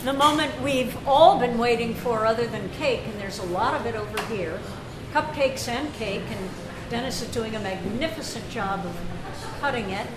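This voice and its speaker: A woman hosting an informal club meeting, making halting, unscripted announcements with joking asides, picked up by a camera microphone. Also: fairly high pitch. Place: indoor club meeting room. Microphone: camera microphone at a distance. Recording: echoey room, clear background noise, camera microphone.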